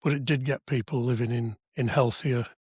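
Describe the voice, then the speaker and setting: A man in his seventies from Wales with a slightly husky voice, chatting through memories in an anecdotal way with dry asides. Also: mid-range voice, steady tone, a little fast, darkish tone, dry recording. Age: 70s. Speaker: podcast guest, civic society member. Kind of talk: conversational reminiscence. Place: podcast studio.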